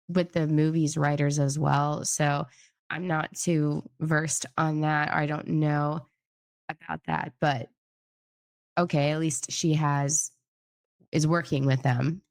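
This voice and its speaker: A young woman hosts a casual news recap, her voice nasal and stuffy from a cold. She speaks low with a gravelly edge and often hedges and rambles between fillers. The sound is close and dry. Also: a little mellow, no echo. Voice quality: nasal, getting over a cold. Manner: rambling and hedging, with many fillers. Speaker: host of a news recap channel. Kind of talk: casual commentary reading an article aloud.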